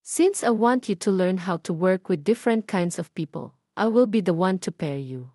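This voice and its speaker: A woman's voice: lowish voice, lively intonation, moderate pace, dark tone, dry recording. A stern college professor, acted by a woman narrator, firm and authoritative. It is audio drama delivery, recorded dry and close.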